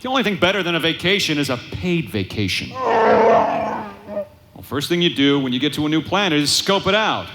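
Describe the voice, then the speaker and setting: An actor in a live audio drama playing a cocky, sarcastic smuggler pilot who swaggers and wisecracks. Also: male voice, on the high side, very animated, normal speed, heavy background noise. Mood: wry, self-assured.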